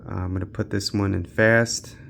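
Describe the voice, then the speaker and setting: Low, gravelly-voiced man casually narrating gameplay. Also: fairly even intonation, moderate pace, fairly bright tone, slight background noise. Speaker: gaming channel host. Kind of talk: casual unscripted gameplay commentary.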